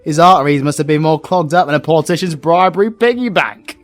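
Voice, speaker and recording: A British woman voicing a cocky, foul-mouthed male villain in a comic audio drama, crude, sarcastic and excitable. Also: deep voice, quick pace, soft background music, natural voice. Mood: excitable, mocking.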